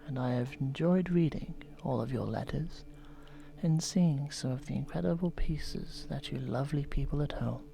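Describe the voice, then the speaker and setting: A man voicing a gentle, soft-spoken television painter who has turned menacing, in a horror ASMR role-play. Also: medium pitch, vocal fry, rough recording. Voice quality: soft whispery delivery. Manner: slow, soothing, softly menacing. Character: gentle middle-aged male TV painter turned unhinged.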